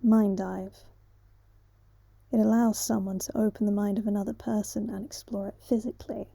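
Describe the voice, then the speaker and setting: A woman's voice: mid-range voice, flat delivery, moderate pace, vocal fry, warm tone, husky, dry recording. A woman voicing a formal, collected, reserved servant of a king in a fantasy video game. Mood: calm, formal. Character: formal, calm, controlled game host serving a king.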